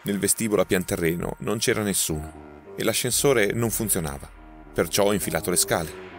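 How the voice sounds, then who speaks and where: A man's voice: fairly low pitch, fairly quick, faint music behind, lightly acted. A man voicing a frightened young man accused of murder, his words tumbling out in agitation. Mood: distraught. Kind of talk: audiobook character voicing.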